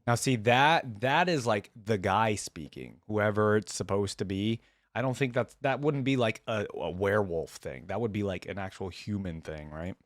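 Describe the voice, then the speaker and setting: A man's voice: lowish voice, moderate pace, no echo, clean studio sound. A man hosting a reaction video, commenting casually off the cuff and thinking aloud in informal speech.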